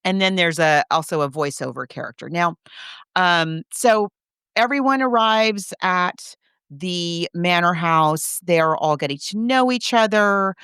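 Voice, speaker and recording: A woman co-hosting a casual book discussion, recapping a story in an animated, chatty way with amused asides. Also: fairly low pitch, unhurried, smooth, no echo, studio quality. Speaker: podcast co-host.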